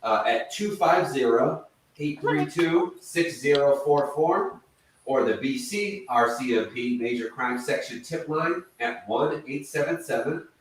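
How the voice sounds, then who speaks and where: A man hosts a live true crime show in a casual, apologetic way. His voice comes from far off the mic in a very echoey room. A faint noise sits under the sound. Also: medium pitch. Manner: chatty, apologetic, reads tip numbers slowly. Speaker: host.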